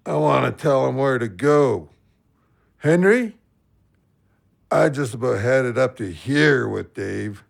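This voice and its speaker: A man playing a heartbroken, slow-witted-sounding but thoughtful drunk in an audio drama, rambling in a slurred voice. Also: medium pitch, lively intonation, vocal fry, full character voice. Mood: wounded, grumbling.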